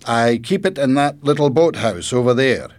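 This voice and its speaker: A man voicing a kindly older grandfather in a storybook, proud and a little sad, speaking in an emphatic, deliberate way. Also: medium pitch, animated, pitched above the performer's own voice, close to an everyday voice.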